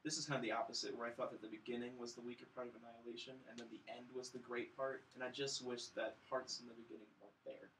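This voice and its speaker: A man's voice: medium pitch, steady tone, very fast, few pauses, a bit bright, rough recording. A young man co-hosting a film podcast, giving casual reviews with wry, joking delivery. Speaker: podcast co-host reviewing films. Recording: faint room noise.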